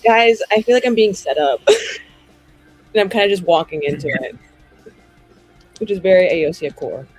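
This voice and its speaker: A woman with a very rough, husky voice plays at a roleplaying table. Her talk is casual, loose and playful, with soft music in the background. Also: on the low side, moderate pace, pauses often, poor audio. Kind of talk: casual game-table chat and narration.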